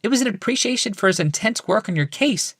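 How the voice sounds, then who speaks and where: A man's voice: animated, quick pace, fairly bright tone, slightly rough, no echo, clean studio sound, heavily acted. A man voicing a patient but exasperated wife in an audiobook, firm and pleading. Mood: strained patience. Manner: high, pleading, strained patience.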